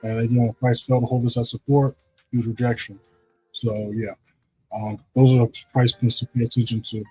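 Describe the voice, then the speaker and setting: A man with a deep voice hosting a live trading stream, talking casually through charts and often checking in with "right?". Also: level tone, moderate pace, darkish tone, husky, soft background music, low-quality recording. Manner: loose, repeats "right?" to check in with viewers. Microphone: streaming setup microphone.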